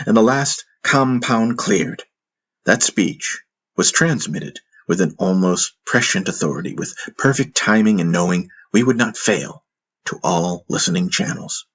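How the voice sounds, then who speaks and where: A man narrating a fantasy audiobook in the first person, with solemn, dramatic storytelling and a husky voice. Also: low voice, fairly bright tone.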